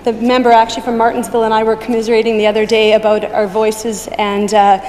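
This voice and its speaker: A woman with a croaky, strained voice delivers a formal opposition speech at a measured pace. Her voice sits a bit high. A long reverb from a large legislative chamber trails each phrase. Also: monotone, a little mellow. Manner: measured, deliberate, with some restarts. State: hoarse, croaky voice. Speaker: opposition member of a provincial legislature.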